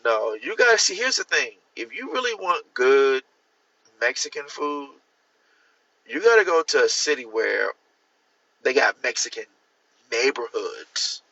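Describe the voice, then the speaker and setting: A man from Miami chatting casually on a livestream, rambling with restarts and jumping up in pitch to stress a point. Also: sing-song, unhurried, pauses often, bright, forceful tone, slightly rough, lo-fi sound. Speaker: author hosting a livestream. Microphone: phone or computer mic.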